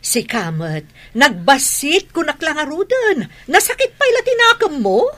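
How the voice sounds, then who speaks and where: An actress with an Ilocano accent playing a stubborn, defensive gambler who wheedles and pleads in a radio drama. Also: female voice, high voice, big pitch swings, unhurried, a bit bright, full character voice.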